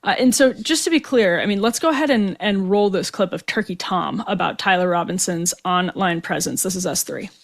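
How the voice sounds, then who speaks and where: A woman's voice: lowish voice, fairly quick, creaky, hardly any pauses. A young woman hosting a political commentary show, talking casually with many fillers and restarts. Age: young adult. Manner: conversational, with frequent fillers and restarts. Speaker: host.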